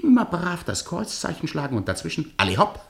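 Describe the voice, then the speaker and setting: Radio drama role: stern, jealous male guardian, sarcastic scolder, lively intonation, audible room echo. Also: a little high, a little fast, vocal fry, exaggerated voice. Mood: scolding, sarcastic. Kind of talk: theatrical radio drama acting.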